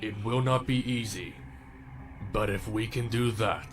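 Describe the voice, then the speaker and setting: A man voicing a sinister, scheming villain's second in command in an amateur fantasy machinima, speaking formally and gravely with a creaky voice. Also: fairly low pitch, natural voice. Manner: slow, formal, measured. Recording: home-recorded fan film, music underneath.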